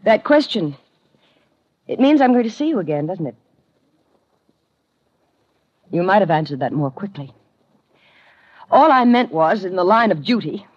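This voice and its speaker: Husky, arch haughty rich wife. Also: female voice, medium pitch, sing-song, moderate pace, long pauses, darkish tone, dry recording. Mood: flirtatious. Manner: arch, languid, sardonic. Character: cool, haughty, flirtatious wealthy wife in her twenties. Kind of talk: 1950s radio noir acting. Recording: muffled old 1950 radio recording.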